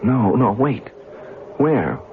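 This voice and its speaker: An actor playing a lovestruck, skeptical reporter who narrates and stammers through a radio drama. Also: male voice, medium pitch, expressive, very slow. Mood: alarmed, pleading. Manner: hesitant, stammering in dialogue. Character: lovestruck, skeptical male reporter, awed and fearful. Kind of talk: American radio drama acting with first-person narration. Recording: noisy, muffled narrow-band old radio recording.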